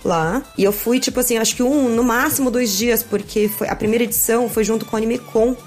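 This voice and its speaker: A woman co-hosting a casual podcast, talking in a relaxed, slangy way. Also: a little high, fast, soft background music.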